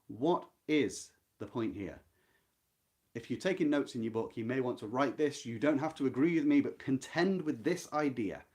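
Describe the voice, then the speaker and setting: A man explaining a novel to viewers as a readalong host, speaking emphatically and deliberately and repeating his key points. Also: mid-range voice, a little mellow. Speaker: host of a book readalong, literary commentator.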